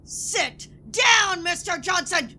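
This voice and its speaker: Pompous sketch interrogator , female performer: high-pitched, wildly swooping, accusatory and melodramatic, with background rumble. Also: animated, sharp tone. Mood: commanding. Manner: theatrical, accusatory, drawn-out. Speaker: comedy podcast sketch performer. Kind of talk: theatrical sketch acting.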